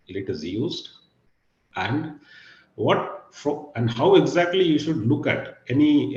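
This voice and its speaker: A middle-aged man with a husky, low voice giving an online guest lecture, pausing often with fillers and "okay". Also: a little mellow, reverberant, lo-fi sound. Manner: hesitant, many fillers and "okay". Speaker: guest speaker. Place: video call.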